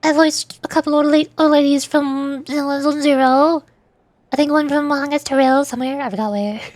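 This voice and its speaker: A woman's voice: high-pitched, steady tone, normal speed, darkish tone, dry recording. A woman streamer chatting casually with viewers in a playful, cutesy manner. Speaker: streamer and voice performer. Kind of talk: casual livestream chat, answering viewers.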